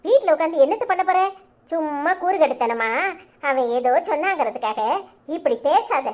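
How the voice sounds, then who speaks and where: A woman voicing a sharp-tongued old grandmother who scolds loudly in rapid tirades. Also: sits high, animated. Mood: scolding.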